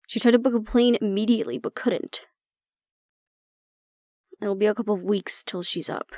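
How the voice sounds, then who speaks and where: A woman's voice: medium pitch, normal speed, dark tone, no room sound, lightly acted. A woman acting in an audio roleplay as an exasperated, blunt agent, talking intimately and sardonically to the listener. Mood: worried, annoyed. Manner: blunt, sardonic, conversational, swearing. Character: exasperated woman agent venting about her stubborn, disapproving father.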